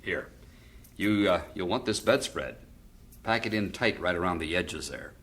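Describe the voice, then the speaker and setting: A man playing a fond, wry middle-aged father in a vintage radio drama, speaking gently and philosophically. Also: sits low, animated, normal speed, background noise. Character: fond widowed father, stock investor.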